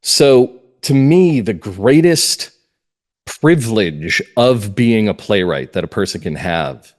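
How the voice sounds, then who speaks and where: A man with a fairly low voice talks reflectively as an interview guest. His delivery is slow and deliberate, with lively swings of intonation. He sounds earnest and places emphasis on his points. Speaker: playwright and audio drama writer, interview guest.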